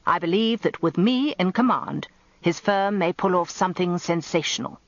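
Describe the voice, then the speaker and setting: Pompous old British barrister. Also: male voice, high-pitched, expressive, moderate pace, no room sound, highs cut off. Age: older. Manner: grandiloquent, plaintive, self-important. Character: Rumpole, pompous, grumbling old barrister husband. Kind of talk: radio drama acting.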